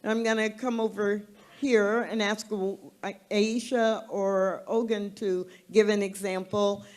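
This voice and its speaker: A woman moderating a panel informally, thinking aloud in an unhurried way. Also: medium pitch, level tone, a little mellow.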